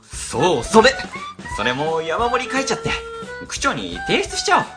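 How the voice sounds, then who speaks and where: A woman playing a sly, cowardly office worker who flatters and schemes in a web radio drama. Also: lowish voice, normal speed, music in the background. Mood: breezy scheming.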